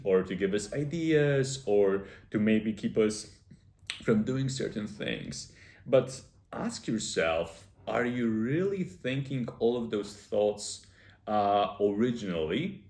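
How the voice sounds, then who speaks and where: A young man giving casual, earnest self-improvement advice, explaining step by step with frequent pauses. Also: on the low side, darkish tone, reverberant. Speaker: self-improvement video host. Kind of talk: casual advice talk to camera.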